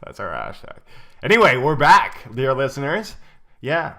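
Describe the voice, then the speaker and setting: A man's voice: medium pitch, big pitch swings, measured pace, gravelly, sharp tone. A young man co-hosting a podcast in casual chat, rambling with lots of ums and uhs and frequent laughter. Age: young adult. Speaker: podcast co-host.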